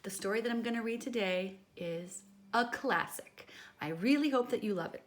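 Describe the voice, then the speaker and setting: A woman's voice: a little high, normal speed. A woman hosting a children's story time, speaking to young listeners in a teacherly way with prompting questions. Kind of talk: read-aloud addressed to young children.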